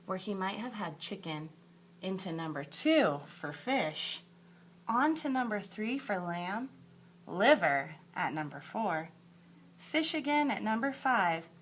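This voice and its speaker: A woman schoolteacher reads a children's story aloud at a slow, deliberate pace. Her voice sits at a medium pitch, and she leans on words for emphasis as she addresses her young pupils. A light background noise and hum runs under the recording. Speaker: elementary teacher reading aloud. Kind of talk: expressive picture-book read-aloud for second graders.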